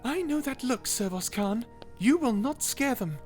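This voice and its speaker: A woman voicing a cute, feisty little mouse in a character audio roleplay, earnest, proper and excitable. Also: on the high side, measured pace, soft background music. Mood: scolding, earnest. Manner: excitable, indignant, proper, stammering.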